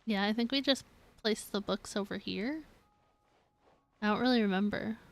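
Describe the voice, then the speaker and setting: A woman's voice: medium pitch, moderate pace. A woman streaming a game, giving casual, playful live commentary in a chatty way.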